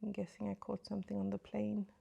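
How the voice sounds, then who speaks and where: Gravelly-voiced woman with a slight cold. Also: medium pitch, monotone, fast. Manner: soft, often half-whispered asides while applying makeup. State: slight cold, sore throat. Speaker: beauty vlogger. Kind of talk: casual makeup tutorial chat. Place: at home in front of a mirror.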